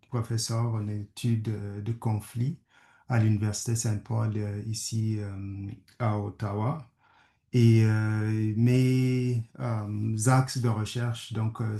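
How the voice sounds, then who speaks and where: Halting, gravelly man, French. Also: lowish voice, warm tone. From Kenya. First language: English. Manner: halting, many fillers and restarts. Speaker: guest speaker, conflict studies professor. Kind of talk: hesitant webinar presentation. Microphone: video call. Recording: echoey room.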